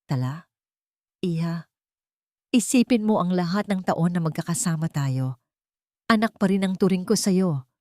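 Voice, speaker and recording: A woman's voice: fairly low pitch, expressive, a little slow, pauses often, darkish tone, no room sound, clean studio sound. Desperate older stepmother pleading , voiced by a woman. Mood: fearful pleading. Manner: fearful, wheedling plea.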